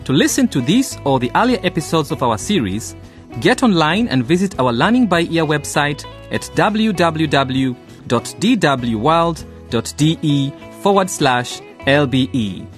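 A man's voice: fairly high pitch, very animated, measured pace, soft background music. A man giving scripted narration as the announcer of a radio drama.

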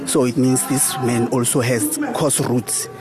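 A man's voice: medium pitch, level tone, a little slow, crowd noise. A man from South Africa, a theatre director and scriptwriter, earnestly explaining his work in an interview. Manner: earnest, explaining at length with restarts. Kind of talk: interview talk. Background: music.

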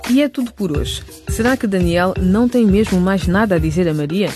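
A man's voice: sits high, a little fast, over music. A man reading scripted radio narration as the presenter of a drama series.

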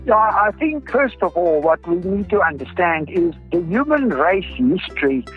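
High-voiced South African Afrikaans man, dull recording. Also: big pitch swings, moderate pace, husky. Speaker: groundwater researcher, interview guest. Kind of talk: explanatory interview talk. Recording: dull lo-fi audio with music behind. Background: music.

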